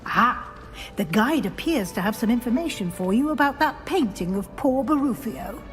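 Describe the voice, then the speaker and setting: A brisk, middle-aged British witch professor from a fantasy game, acted by a woman. Her voice sits fairly high and swings expressively as she gives formal, exacting instruction, with music underneath. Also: animated, lightly acted. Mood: brisk, instructive. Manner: crisp, formal, schoolmistress-like. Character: brisk, exacting female witch professor guiding a new student. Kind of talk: video game character dialogue.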